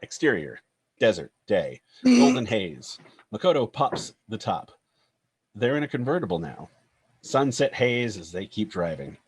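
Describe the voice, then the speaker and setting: A man's voice: medium pitch, wildly swooping pitch, a little slow, darkish tone, a little hiss or hum. A man narrating a screenplay's stage directions in a scripted table-read style.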